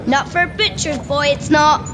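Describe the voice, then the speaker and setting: An amateur actress with a Scottish accent playing a cheeky, daydreaming schoolboy in a radio play, eager and boastful. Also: female voice, high voice, fairly even intonation, normal speed, natural voice. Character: cheeky, daydreaming schoolboy, butcher's son under sixteen. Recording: noisy remote home recording.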